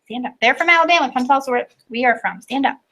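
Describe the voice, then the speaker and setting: A woman with a high voice speaks as a kindergarten teacher. She steers small children along with short, simple directions and questions. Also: fast talker, sharp tone.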